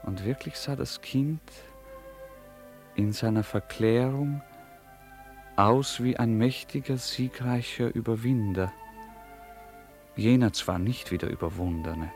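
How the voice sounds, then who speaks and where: Slow, gravelly male radio-play narrator. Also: sits low, a little mellow. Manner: measured, solemn storytelling with formal literary diction. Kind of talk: scripted literary narration for a German radio play.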